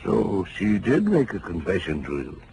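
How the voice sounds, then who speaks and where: An actor playing an excitable older psychoanalyst, fussy and lecturing, in 1940s radio drama style. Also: male voice, sits low, moderate pace, gravelly, heavily acted. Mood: lecturing, probing. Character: excitable, analytical older male psychoanalyst doctor. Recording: noisy old radio recording.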